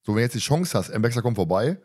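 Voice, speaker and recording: A man's voice: medium pitch, animated, quick pace, darkish tone. Casual man, colloquial podcast chat. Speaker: podcast co-host. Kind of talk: casual discussion of an audio drama.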